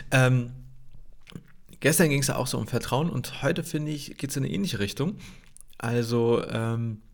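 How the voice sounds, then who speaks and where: A man with a slightly nasal cold hosting a casual devotional livestream, rambling loosely with many fillers and restarts and reading Bible verses to his viewers. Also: mid-range voice. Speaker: host of a daily Bible livestream.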